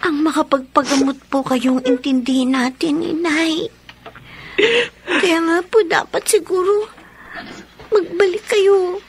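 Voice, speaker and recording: A woman's voice: extremely high pitch, steady tone, takes it slowly, pauses often, exaggerated voice. A woman playing a worried, dutiful young daughter in a radio drama, plaintive and caring. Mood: worried, pleading. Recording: faint background noise, ambience.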